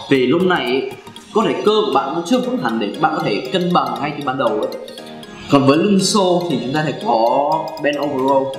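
A young man in his twenties giving beginner gym advice to camera, animated and talking with his hands. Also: a little high, roomy sound. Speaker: fitness coach. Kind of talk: instructional vlog talk. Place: indoor room with carved wooden furniture.

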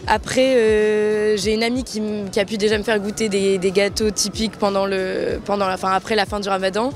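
A woman's voice: medium pitch, little pitch movement, fairly quick, slight background noise. Careful young woman answering outdoors. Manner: careful, hesitant. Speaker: passer-by interviewed. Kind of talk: casual street interview answers.

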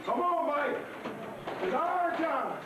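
A man loudly hyping up a boxer during a workout in an indoor gym, picked up by a camera from across the room. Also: extremely high pitch, slow pace. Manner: loud, excited, shouted encouragement. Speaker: hype man cheering a boxer. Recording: echoey gym, distant, noisy.

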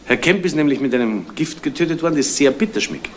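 An actor as a dry, laconic detective partner. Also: male voice, fairly high pitch, animated, quick pace, close to an everyday voice. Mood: probing. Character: dry, plain-spoken male police detective partner. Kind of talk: 1970s German radio crime drama acting. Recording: slightly noisy old radio recording.

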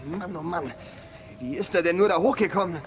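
An actor playing a daring, cheeky deputy in a Western radio play, eager and persuasive. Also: male voice, high-pitched, fairly quick, lightly acted. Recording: noisy outdoor sound effects.

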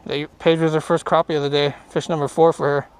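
A young man casually commenting while fishing from a small boat on a lake, easygoing and upbeat, getting excited over each catch. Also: a little high, quick pace, smooth. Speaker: fishing vlogger. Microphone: action camera on the boat.

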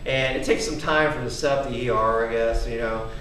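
A man's voice: mid-range voice, steady tone, brisk, fairly bright tone, recorded from a distance, slight background noise. Chatty man in an echoey garage. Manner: rambling, chatty, keeps asking "okay?". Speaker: home fitness vlogger. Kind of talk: casual demonstration and storytelling. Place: large wood-paneled garage at night. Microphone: camera mic from across the room.

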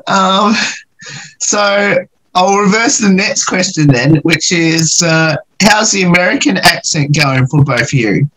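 A man's voice: high-pitched, lively intonation, slow, a bit bright, poor audio. Man hosting a video call interview. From Australia. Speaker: radio show host. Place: small room at home, indoors.

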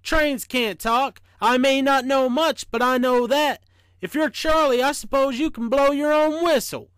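A man's voice: extremely high pitch, a little slow, dry recording. A man playing a stern but kindly train engineer from a children's storybook, with a big, emphatic voice. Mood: skeptical.

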